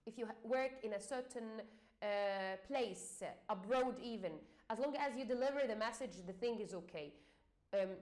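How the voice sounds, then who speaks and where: Egyptian-accented woman teacher, slightly high voice, halting explanatory delivery. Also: a little mellow. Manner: hesitant, many fillers, repeats and restarts. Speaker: English exam teacher.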